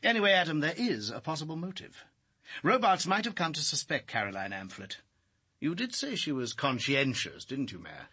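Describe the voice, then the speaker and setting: A wry security official in a radio drama. His voice is sharp and slightly raspy, with lively intonation. He comes across as urbane and in command. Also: a little high, moderate pace, exaggerated voice. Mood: wry, dismissive. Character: smooth-mannered, wry British intelligence official.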